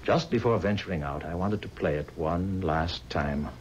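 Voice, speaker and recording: An actor playing a haunted, pleading musician bound to the devil, speaking earnestly and formally in dramatic 1970s radio style. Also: male voice, low-pitched, normal speed, natural voice. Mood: haunted, regretful. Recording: dull, hissy 1970s radio recording.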